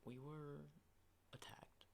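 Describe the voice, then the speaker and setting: Man acting a whispering, unhinged apocalypse survivor. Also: level tone. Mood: grim, bitter. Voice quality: breathy whisper with low creaky undertones. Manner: halting, intimate, unhinged musing. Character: unhinged male zombie apocalypse survivor, menacing captor. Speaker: ASMR roleplay performer. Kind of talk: whispered ASMR roleplay.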